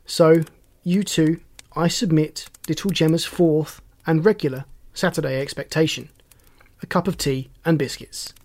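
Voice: mid-range voice, steady tone, a little slow, darkish tone. A narrator voicing a commanding, wry male police inspector in an audiobook, folksy and teasing with pointed questions. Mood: folksy, explaining.

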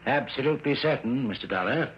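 An actor playing a humble, folksy country fishing guide in a radio drama, rustic and deferential. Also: male voice, medium pitch, expressive, fast talker, natural voice. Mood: guarded, polite. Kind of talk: old-time radio drama acting. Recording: muffled, faintly hissy vintage radio recording.

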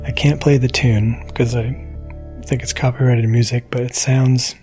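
A man's voice: mid-range voice, steady tone, moderate pace. A man giving a casual spoken introduction to a podcast episode as its producer. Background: soft gentle music.

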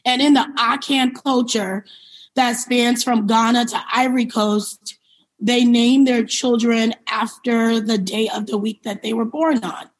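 Higher-voiced woman, reflective interview guest. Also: steady tone, unhurried, rough recording. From born in Liberia, grew up in New York City. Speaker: interview guest, company founder and CEO. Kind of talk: conversational interview answers, personal storytelling.

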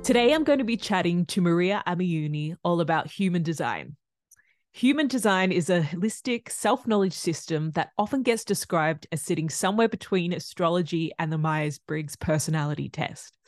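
A woman hosting a podcast with a slightly low, easy voice. She reads her introduction smoothly, then settles into relaxed conversation with a few ums. The recording is dry and close. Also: moderate pace, no echo. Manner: warm scripted intro then relaxed chat with fillers. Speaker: podcast host. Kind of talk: casual interview chat.

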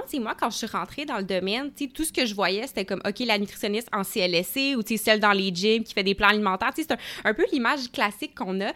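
A woman's voice: mid-range voice, fairly bright tone. A woman in her twenties from Gatineau with a Québécois French accent, a nutrition researcher telling her story as an interview guest, eager and full of fillers and restarts. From Gatineau, Quebec, Canada. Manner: enthusiastic, many fillers and restarts. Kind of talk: casual interview talk.

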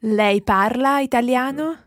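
A woman's voice: fairly high pitch, no echo, clean studio sound. A woman co-hosting a language lesson, giving the model phrases for the learner to repeat. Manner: clear, measured model phrases. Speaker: co-host.

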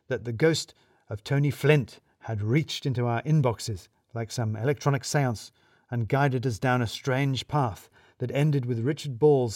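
A man's voice: mid-range voice, a little mellow, no room sound, studio quality. A man narrating a scripted first-person mystery story in a wry, arch, wordy storyteller's manner.

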